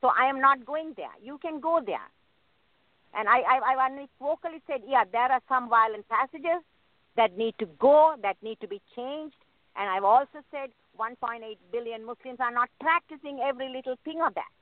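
A woman from Sri Lanka speaking as a guest in a radio debate, earnest and halting, restarting her sentences. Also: high voice, dry recording. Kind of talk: phone-in panel debate. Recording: thin phone line, dry.

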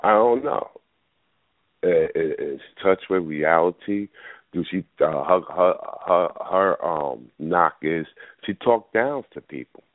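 A man's voice: deep voice, expressive, slow, no room sound. A man hosting a call-in radio show, rambling casually with lots of fillers, fighting a cold. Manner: rambling, thinks aloud with many fillers. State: battling a cold. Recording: tinny phone line, dry.

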